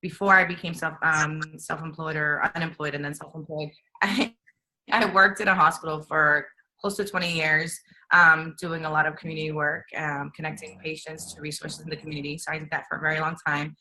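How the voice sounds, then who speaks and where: A woman in her forties from Puerto Rico introducing herself on a webinar, halting and correcting herself as she goes. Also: low voice, level tone, pushed and bright, a little hiss or hum, rough recording. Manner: halting, self-correcting, laughing lightly. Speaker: panelist.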